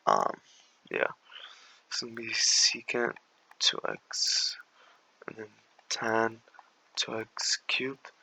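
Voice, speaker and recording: A young man with a raspy voice, walking through calculus problems in a slangy, laid-back way and sometimes mumbling steps under his breath. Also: mid-range voice, sharp tone, rough recording. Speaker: math tutor. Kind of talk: casual tutoring walkthrough.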